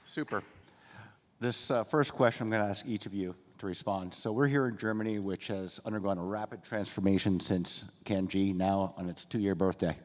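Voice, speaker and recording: A man's voice: fairly low pitch, steady tone, normal speed, darkish tone. A man moderating a conference panel, introducing speakers and putting questions to them with upbeat, scripted lines. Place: large conference hall. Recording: conference hall reverb.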